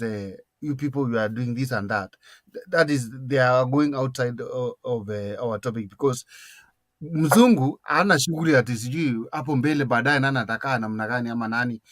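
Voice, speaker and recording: A man's voice: fairly high pitch, no room sound. A Kenyan man with a Kenyan accent, arguing emphatically in a political discussion. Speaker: panelist.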